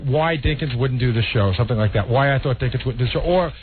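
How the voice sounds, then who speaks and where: Male producer, fast and a touch high, defensive and argumentative; tinny radio sound. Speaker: show producer. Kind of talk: casual radio banter. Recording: tinny phone-like radio broadcast, faint hiss.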